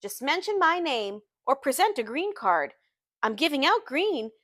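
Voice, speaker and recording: Woman reading as Daisy, flirtatious high-voiced socialite. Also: very animated, a little slow, fairly bright tone, no echo, studio quality, full character voice. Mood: flirtatious, excited.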